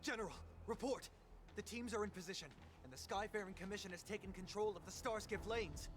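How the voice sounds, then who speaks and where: An actor playing a dutiful soldier in a video game, reporting in a formal military manner. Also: male voice, high voice, brisk, slight background noise, close to an everyday voice.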